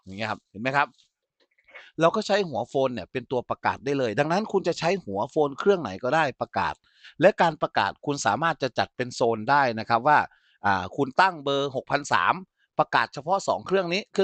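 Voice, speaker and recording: A man giving an informal software tutorial as an instructor, explaining step by step and often checking that listeners follow. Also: mid-range voice, no room sound.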